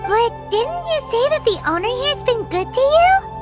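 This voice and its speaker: Squeaky, childlike fairy sidekick. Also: female voice, big pitch swings, measured pace, heavily acted. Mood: eager. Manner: refers to self by name, chirpy and childish. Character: tiny childlike fairy companion, chirpy, cheeky, self-praising. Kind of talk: video game character acting. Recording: game dialogue over loud music.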